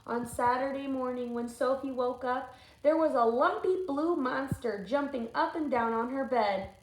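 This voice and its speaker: A woman's voice: high voice, moderate pace. A woman teacher reading a storybook aloud to children, with thoughtful questions and asides. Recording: echoey room with faint noise.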